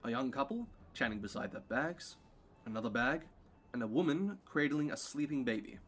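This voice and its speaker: Medium-pitched man narrating an audio play. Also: big pitch swings, normal speed, fairly bright tone, slight background noise.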